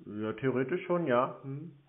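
A man talks casually on a phone call. His voice sits at a middle pitch, and he keeps his answers terse and dry, stalling as he goes. The sound is dull and lo-fi. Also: animated, measured pace. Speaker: scam-baiter taking scam calls.

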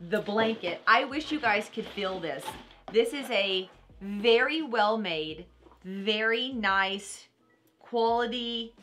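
Bright-voiced Texan woman, gushing and animated. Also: mid-range voice. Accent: Texan American. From Texas, United States. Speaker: unboxing video host. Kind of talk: casual product unboxing chat. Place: small decorated room at a table, indoors.

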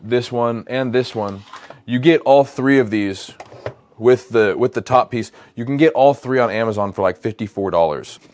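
A man's voice: mid-range voice, moderate pace, darkish tone. American male, 30s, lifestyle vlogger. Conversational, mid-range voice, repeats phrases to stress them. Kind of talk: casual vlog chat while cooking. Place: home kitchen, indoors.

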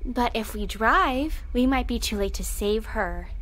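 High-voiced, headstrong crew leader. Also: female voice, expressive, unhurried, fairly bright tone. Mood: resolute. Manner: insistent, commanding. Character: headstrong, proud young woman leading a spaceship crew. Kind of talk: audio drama acting.

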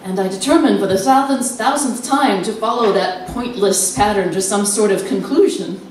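Echoey stage monologue, actress as nervous confined wife. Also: female voice, medium pitch, darkish tone, close to an everyday voice. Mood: agitated, fixated. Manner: halting, dramatic, with drawn-out words and pauses. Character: lonely, nervous, confined wife slipping into obsessive madness. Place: live performance in a cafe venue. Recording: very echoey room, distant mic, noisy.

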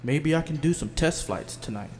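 Man acting gravelly tech adviser. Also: fairly high pitch, animated, moderate pace, a little mellow, faint music behind. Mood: dry, advising. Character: dry, advising male tech expert. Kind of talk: audio drama acting. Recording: noisy ambience with long reverb.

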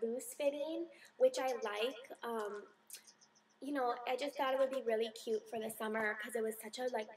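A chatty young woman with a fairly high voice talks through her shopping finds, bubbly and full of little fillers. She sounds a little distant from the camera mic, with a faint hum of room noise behind her. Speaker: beauty vlogger. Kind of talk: casual haul video chat.

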